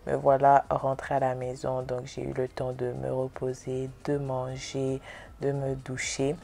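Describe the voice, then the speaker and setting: A woman's voice: a bass voice, completely flat delivery, unhurried, creaky, warm tone. A young woman vlogging to her phone camera, chatting in a rambling way and sometimes dropping to a whisper. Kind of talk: casual vlog chat to camera. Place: bathroom, car and grocery store. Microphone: phone camera, held or on dashboard. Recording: phone audio with car and store noise.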